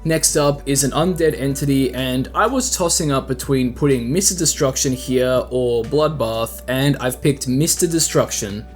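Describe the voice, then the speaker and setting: A man's voice: mid-range voice, normal speed, hardly any pauses. Man narrating casually over music. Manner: conversational, rhetorical questions to the listener. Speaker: host and narrator of horror analysis videos. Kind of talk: casual scripted video commentary.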